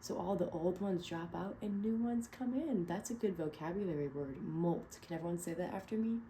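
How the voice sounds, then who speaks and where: Woman teacher, picture-book read-aloud, encouraging, asks the class questions; mellow low tone. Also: normal speed, a little hiss or hum. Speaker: teacher reading to children.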